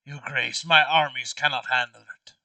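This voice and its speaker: A man's voice: medium pitch, fairly even intonation, normal speed, sharp tone, dry recording, far from the mic, rough recording. A man playing a party game with friends, switching between casual remarks and reading character lines aloud. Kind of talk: casual tabletop play with in-character line readings.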